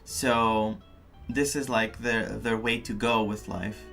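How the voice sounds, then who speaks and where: A man's voice: fairly low pitch, fairly even intonation, takes it slowly, vocal fry, a bit bright, soft background music. A man who is not a native English speaker gives casual, reflective live commentary as a game streamer, searching for words.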